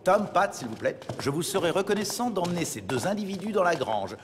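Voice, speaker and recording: A man's voice: high voice, sing-song, fairly quick, long reverb tail, noisy background. A man playing an earnest young ruler in a cartoon, speaking formally and with firm authority. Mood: stern, resolute. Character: noble young prince turned sovereign, earnest and firm. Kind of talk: French-language cartoon dubbing.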